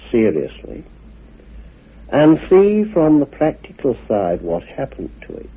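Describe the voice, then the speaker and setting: A man delivers a measured lecture in short, deliberate phrases. His pitch rises and falls widely and the voice is a little husky. It comes through a thin, tinny radio recording. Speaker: guest speaker on occult teachings.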